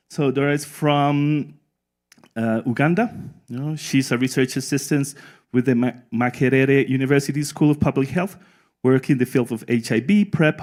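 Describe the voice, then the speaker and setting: Relaxed man chairing a conference panel. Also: medium pitch. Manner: relaxed, speaks English as a second language with tag "no?". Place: conference room.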